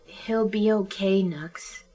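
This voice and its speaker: A woman playing a calm, reassuring member of a cartoon animal team, speaking gently in an amateur radio drama. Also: medium pitch, slow, low-quality recording. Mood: calm, questioning.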